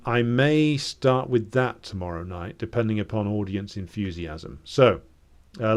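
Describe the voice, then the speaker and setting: Male stream host, slightly low voice, dry asides, halting delivery full of fillers, close mic on an arm. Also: darkish tone. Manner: dry, hesitant, many fillers. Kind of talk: casual live-stream commentary reading chat. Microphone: microphone on a swivel arm.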